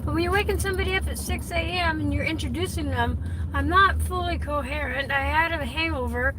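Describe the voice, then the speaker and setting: A woman telling stories and trading sassy comebacks with her husband while riding in a car. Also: high-pitched. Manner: sassy, quick comebacks, storytelling. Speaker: wife. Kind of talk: casual banter in a car. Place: inside a car in traffic. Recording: noisy car interior. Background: traffic and road noise.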